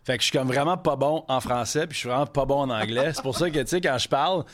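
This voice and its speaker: A man from Quebec City with a Quebec French accent rambles through a casual podcast chat. His voice sits at an ordinary middle pitch. He mixes English into his French and swears often as he talks. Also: fast, fairly bright tone. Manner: rambling franglais with frequent swearing. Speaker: guest chef.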